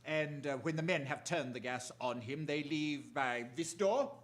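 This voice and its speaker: An actor playing a commanding, menacing crime boss, imperious and deliberate in a theatrical radio drama style. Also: male voice, fairly high pitch, natural voice. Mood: brisk, suspicious.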